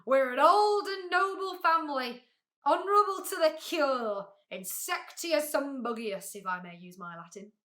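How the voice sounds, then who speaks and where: Here is a blustering male humbug insect from a storybook, acted out by a woman reader. He booms grandly and pompously in a high, forceful voice, full of puffed-up self-importance. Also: expressive, unhurried, heavily acted. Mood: boastful. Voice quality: booming. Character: blustering, pompous male humbug, a big beetle-like con man.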